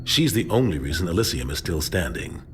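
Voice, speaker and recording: A man's voice: low-pitched, very animated, fairly quick, music in the background. An actor playing a grave, resolute senior military man in a video game cutscene, solemn and assured.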